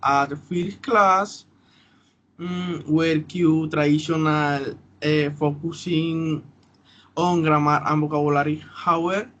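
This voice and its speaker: A man of twenty-one with a Colombian Spanish accent, speaking haltingly as a student podcast panelist. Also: a little high, fairly even intonation, a bit bright. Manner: halting, hesitant. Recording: dull lo-fi audio, faint noise.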